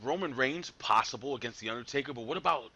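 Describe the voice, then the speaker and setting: A man hosting a casual wrestling talk panel, animated and repeating himself as he jumps between topics. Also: medium pitch, quick pace, highs cut off. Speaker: podcast host.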